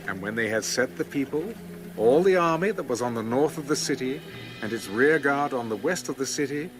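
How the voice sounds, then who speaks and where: A man narrating scripture as a scripted audio Bible reading, measured and formal. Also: medium pitch, animated. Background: music.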